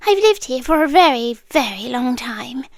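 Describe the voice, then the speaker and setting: A British woman playing a possessive, centuries-old monster girl in an ASMR roleplay, softly coaxing and sweetly sinister, often dropping into a breathy whisper. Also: high-pitched, very animated, dry recording, clean studio sound. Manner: slow, coaxing, eerily reassuring with dramatic pauses. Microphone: close ASMR microphone, dry sound.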